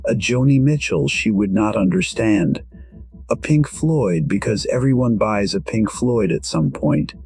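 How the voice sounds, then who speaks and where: A man with a husky voice narrating a scripted first-person story in a measured, reflective manner. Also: lowish voice, animated, a little mellow.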